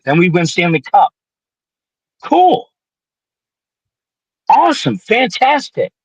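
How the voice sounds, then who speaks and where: A young man from the Detroit area, rambling and swearing freely through a casual sports chat. His voice sits a touch high for a man, and the recording is dry and close with no room sound. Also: fairly bright tone, dry recording, low-quality recording. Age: 20s. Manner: profane, rambling, joking.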